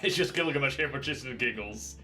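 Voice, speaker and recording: A man's voice: medium pitch, a little fast, sharp tone. A man hosting a casual let's play, chatting, laughing and reading game text aloud. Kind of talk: casual gameplay commentary. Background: game music.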